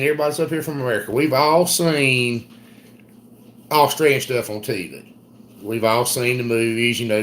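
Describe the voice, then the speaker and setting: Man, thick southern accent, folksy rambling livestream host, faint background hiss. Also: medium pitch. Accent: Southern US, South Carolina. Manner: rambling, folksy, reads viewer comments aloud. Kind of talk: casual live-stream chat.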